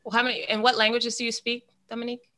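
Bright-voiced woman podcast host. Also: a little high, little pitch movement, racing. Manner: encouraging, affirming questions. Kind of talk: interviewer asking questions.

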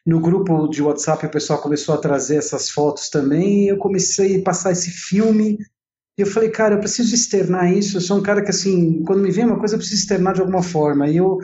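A man's voice: high-pitched, fast talker, warm tone. A man in his forties with a São Paulo Brazilian accent, telling his own story casually and talkatively, often repeating and rephrasing himself. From Italy and São Paulo, Brazil. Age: 40s. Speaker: interview guest, entrepreneur. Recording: roomy, slightly dull sound.

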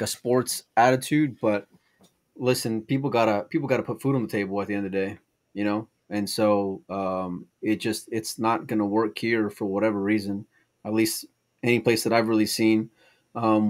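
A man co-hosting a casual livestream, joking and teasing in banter. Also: lowish voice. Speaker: co-host.

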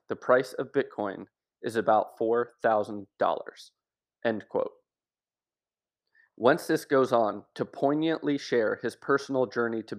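Guy reading an essay out loud, steady and measured, mid pitch. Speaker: article narrator. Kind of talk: scripted narration of an essay.

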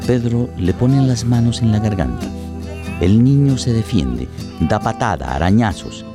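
A man's voice: lowish voice, lively intonation, a little slow. A man giving a dramatic scripted narration of a radio play. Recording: plucked guitar music under voice.

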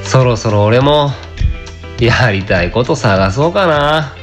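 A man's voice: medium pitch, expressive, takes it slowly, soft background music. A man playing a cynical, lazy part-time fake fortune teller in a radio drama, grumbling in casual, blunt speech. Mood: jaded narration. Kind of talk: amateur radio drama acting and narration.

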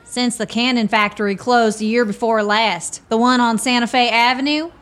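A woman's voice: on the high side, fairly even intonation, normal speed, a bit bright, natural voice. A woman playing a friendly, talkative, plainspoken homeless woman in a radio play, with a rustic, folksy manner.

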